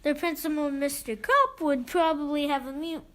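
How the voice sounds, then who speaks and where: A child reading a children's book aloud expressively, with halting delivery and stumbles over words. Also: high voice, animated, a bit bright.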